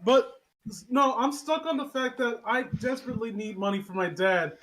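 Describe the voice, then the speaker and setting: A man's voice: very high voice, normal speed, a bit bright, rough recording. A man co-hosting a casual chat in a blunt, incredulous way with plenty of swearing. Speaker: podcast co-host.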